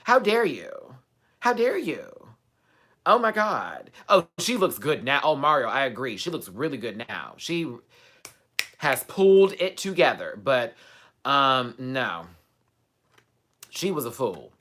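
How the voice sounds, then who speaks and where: A man from Texas hosts a live chat and runs through reality TV contestants with sassy, profane gossip. His voice is bright and cutting, at a middling pitch. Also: sing-song, unhurried. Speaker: host.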